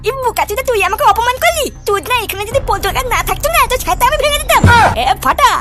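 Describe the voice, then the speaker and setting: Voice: very high voice, lively intonation, racing, sharp tone, faint music behind, heavily acted. A performer voicing a cheeky, foul-mouthed young boy in a comedy cartoon, brash and whiny. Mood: indignant. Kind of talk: exaggerated Bengali cartoon comedy dialogue.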